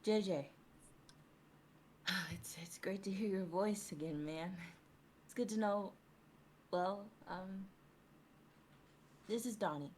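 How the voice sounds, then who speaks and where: A young woman playing a nervous, haunted old schoolmate, halting and hesitant, in a dramatic script reading. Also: mid-range voice, a little mellow, slight background noise, close to an everyday voice. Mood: awkward, nervous. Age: young adult.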